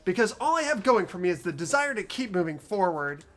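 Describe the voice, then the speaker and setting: A woman voicing an earnest, determined teenage boy hero in a video game, halting at times and fervent. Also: mid-range voice, very animated, soft background music, close to an everyday voice. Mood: defiant, determined. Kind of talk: fan voice acting of a video game script.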